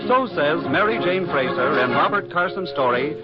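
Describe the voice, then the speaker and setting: A man's voice: a little high, lively intonation, moderate pace, lightly acted. An actor as the genial narrator of a 1940s radio drama, polished and theatrical as he addresses the listeners. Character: genial storytelling radio announcer. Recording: dull, lo-fi 1940s radio recording. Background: music.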